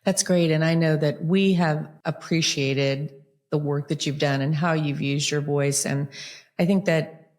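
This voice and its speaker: A woman's voice: low voice, steady tone, unhurried, a little mellow. A woman hosting a podcast, asking friendly, encouraging questions of her guests. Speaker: podcast host. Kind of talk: interview hosting.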